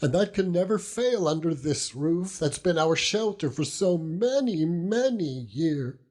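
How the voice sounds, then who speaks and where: A man's voice: fairly high pitch, expressive, measured pace, a little mellow, pitched above the performer's own voice. A man voicing a humble, grieving widow in an audiobook, speaking tender rustic dialect as she pleads and consoles. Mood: tearful, tender pleading. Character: grieving, self-reproaching old widow woman, humble and tender. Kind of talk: dramatised audiobook character reading.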